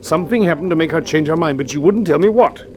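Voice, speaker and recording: An actor playing an earnest, loyal detective's sidekick with an English accent, exclamatory in the manner of British radio drama. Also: male voice, fairly high pitch, fast talker, a little hiss or hum, close to an everyday voice.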